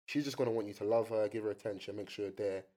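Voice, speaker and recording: A young man hosting a casual chat, telling animated stories with slang. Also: lowish voice, rapid-fire. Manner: animated storytelling, British slang. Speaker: host.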